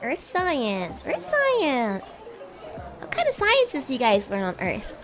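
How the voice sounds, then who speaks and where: Animated, high-pitched woman as bratty demon classmate. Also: takes it slowly, over music. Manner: teasing, giggly, addresses listener as human. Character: bratty, playful young female demon schoolgirl classmate. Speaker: VTuber streamer in character, chatting with viewers. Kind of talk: improvised in-character roleplay livestream chat.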